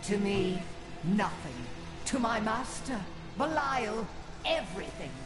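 A woman's voice: mid-range voice, animated, slow. A woman playing an imperious, gloating sorceress villain in a video game, commanding, taunting and theatrical. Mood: menacing. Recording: echoing, loud game music behind.

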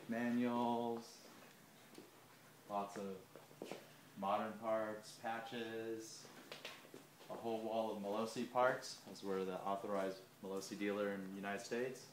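A man's voice: fairly low pitch, little pitch movement, slow pace, pauses often. A man in his thirties walks through a large indoor parts warehouse, filming on a handheld phone and listing items as he goes. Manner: lists items while walking, filming handheld. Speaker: shop tour guide. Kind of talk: casual walking shop tour. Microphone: handheld phone camera. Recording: echoey warehouse, faint hum.

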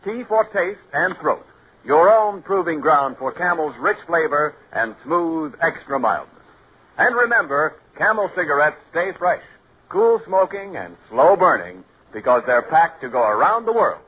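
A man's voice: a little high, big pitch swings, a little slow, slight background noise. An American man announcing and reading an advertisement in punchy 1940s radio style on a tinny old recording.

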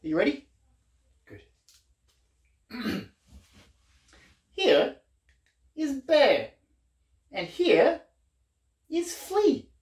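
A man's voice: very high voice, huge pitch swings, drawn-out words, pauses often, reverberant. A man in his thirties reading a picture book aloud to young children in a playful, exaggerated storyteller way. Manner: playful, exaggerated storyteller delivery with questions to the listener. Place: small living room, indoors.

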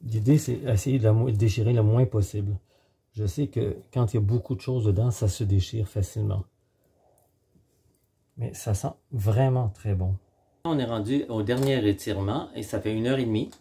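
Man, middle-aged, low pitch, very dark mellow tone, husky, halting instructional delivery, home cooking demonstration. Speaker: home baker presenting a recipe. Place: home kitchen, indoors.

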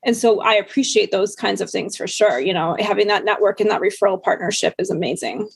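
Gravelly-voiced woman podcast host. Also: medium pitch, fairly quick, no echo. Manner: conversational, lots of "like" and "I mean". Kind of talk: casual interview chat.

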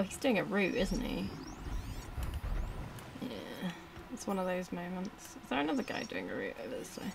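A woman's voice: mid-range voice, gravelly. A woman giving casual, informal let's-play commentary, muttering to herself and to the game as she plays. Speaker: gaming video host.

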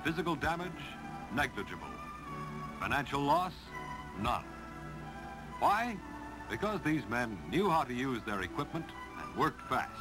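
Male American narrator, 1950s instructional style, emphatic and animated, vintage film sound with music. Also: medium pitch, unhurried, long pauses, poor audio.

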